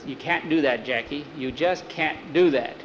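A man voicing a reasonable, firm farmer in a told story, reasoning in a measured way. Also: a little high, muffled recording, a little hiss or hum, close to an everyday voice. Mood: soothing. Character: reasonable, firm farmer defending a boy and dog.

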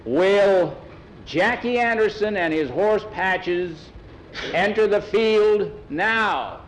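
Man doing a loud, drawn-out racetrack announcer. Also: sits high, lively intonation, a little hiss or hum, pitched above the performer's own voice, low-quality recording. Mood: formal, booming. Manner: slow, drawn-out, projected announcing. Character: formal male racetrack announcer on a megaphone.

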